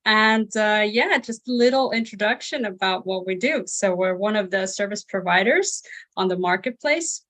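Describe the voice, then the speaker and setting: A woman's voice: mid-range voice, moderate pace, a bit bright. A woman working in sales, introducing her company as a webinar guest, speaking with frequent hesitations. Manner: hesitant, many uhs. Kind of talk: webinar presentation.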